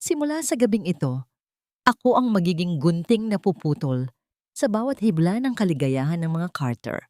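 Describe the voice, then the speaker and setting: A wronged young wife played by a woman narrator has hardened into a cold avenger. She speaks steadily and with resolve in an audio drama, her voice low and recorded dry. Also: big pitch swings, moderate pace, a little mellow, no echo. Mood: cold determination.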